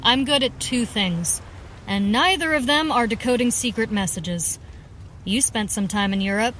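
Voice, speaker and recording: A woman's voice: fairly high pitch, normal speed, fairly bright tone, a little hiss or hum, lightly acted. A woman voicing a plucky, wry young vigilante in a radio superhero drama. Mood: wry, matter-of-fact. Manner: wry, brash. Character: plucky, wisecracking young female vigilante heroine.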